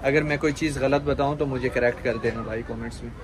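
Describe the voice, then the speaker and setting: A man's voice: mid-range voice, steady tone, quick pace. A man in his thirties from Pakistan, chatting upbeat to a handheld camera as a travel vlogger. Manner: chatty, upbeat guide talking straight to camera. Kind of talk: casual travel vlog narration. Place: outdoors and in a car, on the move. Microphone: handheld camera at arm's length. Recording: noisy outdoor vlog sound.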